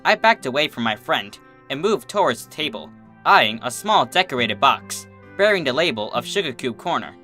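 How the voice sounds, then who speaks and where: A woman reading fan fiction aloud as expressive scripted story narration. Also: extremely low pitch, normal speed. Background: soft guitar music.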